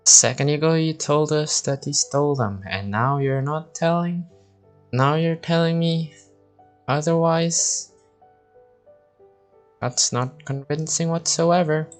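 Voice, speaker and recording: A woman's voice: low voice, takes it slowly, long pauses, faint music behind. A woman game streamer casually reacting to a game and reading bits of its text aloud.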